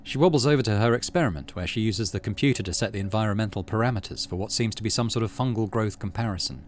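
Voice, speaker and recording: A man's voice: on the low side, quick pace, a little hiss or hum. A middle-aged man playing through a game, chatting casually and reading its narration aloud. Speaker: gaming channel host, player.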